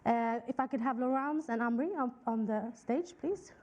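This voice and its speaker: A woman's voice: a little high, moderate pace, very noisy. A woman moderating a stage conversation, politely and formally introducing the guests. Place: stage at a conference.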